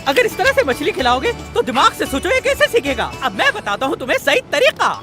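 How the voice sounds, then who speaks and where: Clever bossy cartoon adventurer, super high voice, explains everything, music behind. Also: female voice, sing-song, fast talker. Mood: teasing, instructive. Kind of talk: Hindi cartoon dub acting. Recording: loud music under the voice.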